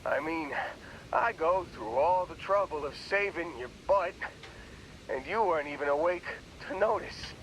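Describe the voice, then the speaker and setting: An actor playing a groggy young space miner in a video game, talking in a wry, rambling, hesitant way. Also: male voice, on the high side, lively intonation, measured pace, fairly bright tone, a little hiss or hum, poor audio. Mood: rambling, amused. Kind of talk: video game character dialogue.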